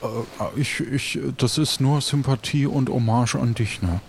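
A man improvising in an audio role-play as an easygoing seafarer, talking in a casual, halting way. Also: mid-range voice, slight background noise. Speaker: game narrator and player.

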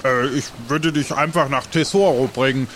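A man's voice: medium pitch, fairly even intonation, moderate pace, fairly bright tone, a little hiss or hum, natural voice. A man playing a chatty, good-natured postman who sails passengers to a pirate island, in an improvised audio adventure with hesitant, colloquial delivery. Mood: helpful.

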